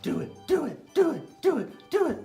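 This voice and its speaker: A woman's voice: high-pitched, sing-song, normal speed, creaky, loud music behind the voice, exaggerated voice. A woman voicing a playful, sassy little girl puppet who chatters in a childlike, bossy, folksy way during an improvised puppet show. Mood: excited, bossy.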